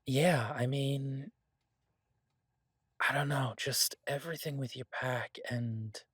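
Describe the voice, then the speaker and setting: A man playing an easygoing, earnest chef boyfriend in an intimate fantasy audio roleplay, talking in a halting, reassuring, conversational way. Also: medium pitch, fairly even intonation, fairly bright tone, husky, no room sound, studio quality.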